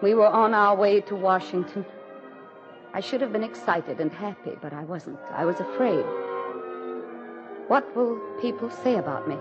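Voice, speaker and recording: An actress playing a proud, anxious president's wife in a theatrical, emotional 1940s radio drama style. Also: female voice, mid-range voice, normal speed, long pauses, lightly acted. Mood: fearful, brooding. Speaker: lead actress and first-person narrator. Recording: dull 1940s radio recording with music.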